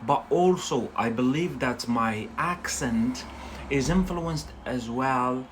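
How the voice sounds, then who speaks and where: A man's voice: mid-range voice, slow. Male host, Algerian accent with a Scottish touch, non-native English. Chatty and loose, full of fillers. Desk mic with faint noise. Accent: Algerian, with a Scottish influence. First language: not English. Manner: chatty, rambling, with many fillers and tag questions. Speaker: English teacher and livestream host. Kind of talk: casual livestream lesson.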